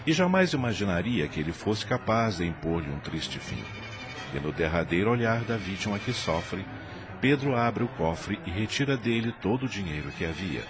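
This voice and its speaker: A man narrating a radio drama in an expressive, dramatic way. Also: lowish voice, moderate pace, music in the background.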